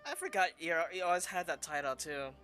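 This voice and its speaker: Bright-voiced, casual game streamer. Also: medium pitch, sing-song, brisk, clear, resonant tone. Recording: game music behind the voice.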